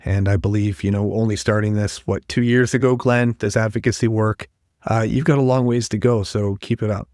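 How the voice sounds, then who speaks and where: A man's voice: lowish voice, fairly even intonation, a little fast, darkish tone, dry recording, studio quality. Friendly man hosting a health podcast. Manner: friendly, conversational, reads out resource details. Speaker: podcast host. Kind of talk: interview hosting with scripted info segments.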